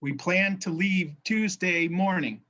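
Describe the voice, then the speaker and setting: A minister with a faint New Jersey accent preaches in a slightly raised voice, slow and deliberate, now and then stumbling over a word and starting it again. The recording is a little dull and lo-fi. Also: male voice, high-pitched, pushed and bright. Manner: slow, careful speech after a brain injury, occasional word restarts. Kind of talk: sermon.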